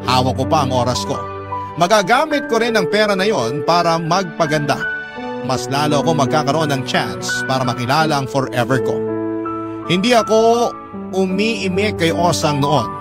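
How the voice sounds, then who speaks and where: A man's voice: a little high, expressive, normal speed. A man with a Filipino accent narrating a story in dramatic radio storytelling style. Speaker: narrator reading a letter sender's story. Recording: loud music under the voice. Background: loud background music.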